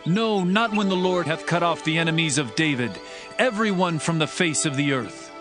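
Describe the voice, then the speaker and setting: A man plays a devoted young prince in a Bible drama. His fairly high voice is solemn and declamatory, sincere in its archaic phrasing. Music plays clearly behind him. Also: moderate pace, close to an everyday voice. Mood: solemn vowing. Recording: background music under the voice.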